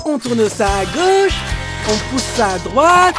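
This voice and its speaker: A man's voice: extremely high pitch, very animated, takes it slowly, full character voice. A man playing a vain, overconfident hairdresser uncle in a French children's cartoon, with a pompous, flamboyant way of talking. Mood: smug. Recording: cartoon music behind the voice.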